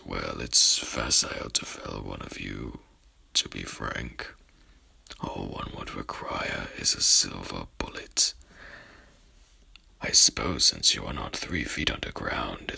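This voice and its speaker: Guy doing a haughty headless horseman for asmr, super low breathy whisper, pompous old-timey wording. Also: pushed and bright, full character voice. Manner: formal, verbose, archaic wording, pompous. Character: courtly, haughty headless horseman monster, archaic and soothing.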